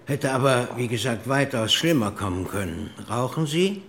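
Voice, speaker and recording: An actor playing a matter-of-fact hospital doctor who speaks to a patient in short, clipped phrases in a naturalistic radio play. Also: male voice, mid-range voice, lively intonation, moderate pace, fairly bright tone.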